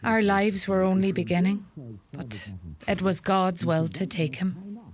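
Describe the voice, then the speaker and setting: An actress playing a teasing, sharp-tongued middle-aged widowed mother in a radio play, with a Donegal Irish accent. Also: mid-range voice, animated, unhurried, a crowd in the background, close to an everyday voice. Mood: wistful. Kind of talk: comic radio play acting.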